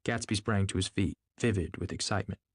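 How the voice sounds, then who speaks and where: A man's voice: lowish voice, a little fast, no room sound. A man reading literary fiction as a scripted audiobook narrator.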